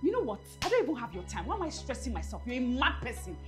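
A fed-up, combative young woman with a Nigerian accent. Her pitch swings widely as she snaps and argues in a screen drama, with faint music behind. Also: very animated, fast talker. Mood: angry, shouting. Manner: combative, indignant, sharp-tongued. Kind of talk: film drama acting.

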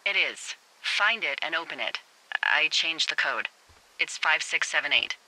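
A woman's voice: sits low, expressive, measured pace, bright, forceful tone, exaggerated voice, rough recording. Wry woman over walkie-talkie. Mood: wry, exasperated. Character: wry, frazzled adult woman, fire lookout supervisor. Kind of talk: naturalistic video game dialogue. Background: game music.

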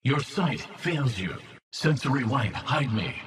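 Terse shadowy male fighter, forceful. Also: medium pitch, big pitch swings, measured pace. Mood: cool, terse. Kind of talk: video game battle voice lines.

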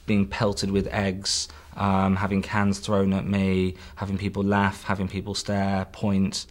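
A man speaking earnestly in a documentary interview, reflective and halting with many ums. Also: low voice, completely flat delivery. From Britain. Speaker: emigrating husband.

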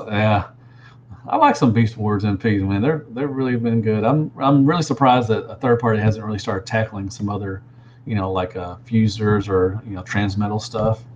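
A man's voice: fairly low pitch, highs cut off, a little hiss or hum. Laid-back Southern man with a drawl. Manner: relaxed, rambling, drawn-out fillers, says "man" a lot. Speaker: toy reviewer answering live chat. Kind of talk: casual livestream chat. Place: small room at home, indoors. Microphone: gaming headset.

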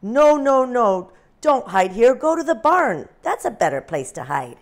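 Woman doing a slow, warning storybook cow. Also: on the high side, very animated. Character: big cautious cow, warning.

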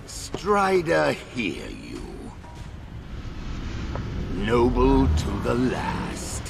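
An actor playing a gloating, undying dark lord in a video game, with grandiose, menacing theatrical delivery over loud machinery. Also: male voice, medium pitch, huge pitch swings, extremely slow, creaky, music in the background, exaggerated voice. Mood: defiant gloating. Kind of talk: video game villain acting.

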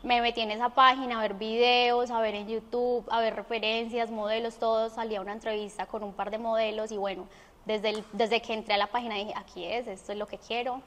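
A woman's voice: fairly high pitch, flat delivery, brisk, fairly bright tone. A young woman with a Colombian accent answering interview questions conversationally in a studio. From Armenia, Colombia. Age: young adult. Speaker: interview guest. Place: indoor studio set. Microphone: lapel mic. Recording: reverberant studio room.

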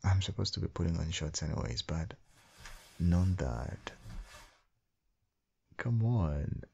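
A man speaks very low and slowly in a tender boyfriend roleplay. His voice is husky and murmured, like a doting partner talking close and softly. The recording is slightly dull. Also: animated, a little hiss or hum. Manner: tender, doting, slow, murmured with soft hums. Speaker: ASMR roleplay performer playing a loving husband and father. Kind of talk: boyfriend ASMR roleplay, soft intimate acting.

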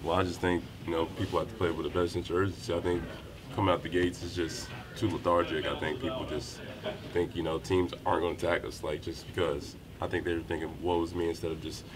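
Low, flat-voiced young man. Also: crowd noise. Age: young adult. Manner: low-key, measured, with frequent fillers. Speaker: college basketball player. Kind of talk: postgame press interview. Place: small indoor room by a wall. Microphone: handheld reporter mics held close.

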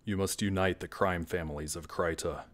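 A man playing a cold, scheming, grandiose villain in an audio drama, theatrical and deliberate. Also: deep voice, fairly even intonation, lightly acted. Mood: sinister, grandiose.

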